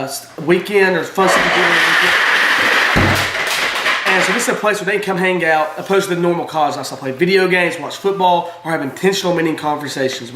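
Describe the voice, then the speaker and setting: A man's voice: sits high, sharp tone, echoey room, rough recording. A man, talking eagerly in a casual video submission. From Alabama. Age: 20s. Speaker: college student, small group leader.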